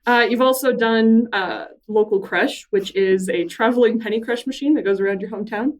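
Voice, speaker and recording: A woman's voice: a little high, fairly quick, recorded from a distance. A woman hosting an interview in a library room, asking curious, friendly questions. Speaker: podcast host, interviewer. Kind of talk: casual interview.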